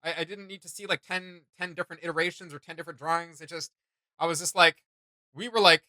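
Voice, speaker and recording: A man's voice: a little high, steady tone, quick pace, sharp tone, dry recording. A man hosting a reaction video, commenting casually in informal, slangy phrasing. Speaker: YouTube reaction host. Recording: music playing behind the voice. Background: music.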